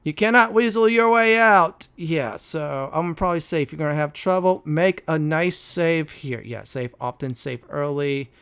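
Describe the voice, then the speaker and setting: A man hosting a casual video game walkthrough, often pausing mid-sentence before key words. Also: on the high side, a bit bright, clean tone. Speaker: gaming video host.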